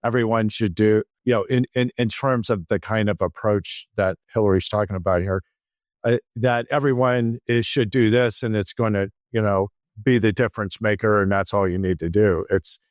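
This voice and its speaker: A man's voice: lowish voice, no room sound, clean studio sound. A man hosting a medical podcast, adding thoughtful points in an affirming, casual manner with fillers. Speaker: podcast host. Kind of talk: conversational interview.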